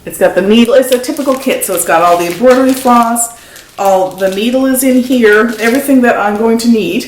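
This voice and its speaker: A woman's voice: on the high side, fairly quick. A middle-aged woman from Newfoundland with a Newfoundland accent, hosting a knitting podcast and chatting enthusiastically as she shows off craft supplies at home. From Newfoundland and Labrador, Canada. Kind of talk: casual show-and-tell chat. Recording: echoey room with faint noise.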